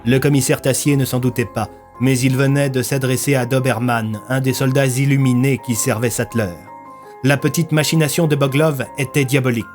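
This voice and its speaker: A man's voice: mid-range voice, steady tone, moderate pace. A man narrating a scripted dramatic story in a grave, theatrical way. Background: music.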